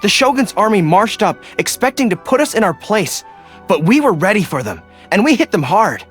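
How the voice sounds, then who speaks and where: A man's voice: high-pitched, big pitch swings, normal speed. A man voicing an earnest, loyal young dog-eared general in a video game, polite and dutiful, speaking as a young adult. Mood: proud, resolute. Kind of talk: video game character voice lines. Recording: studio voice over background music. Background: music.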